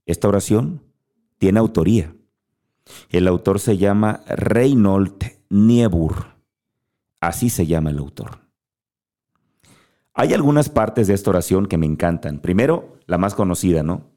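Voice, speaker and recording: Warm low-voiced Mexican man. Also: expressive, a little slow, pauses often. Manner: earnest, speaks directly to the listener. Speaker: podcast host. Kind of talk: motivational monologue.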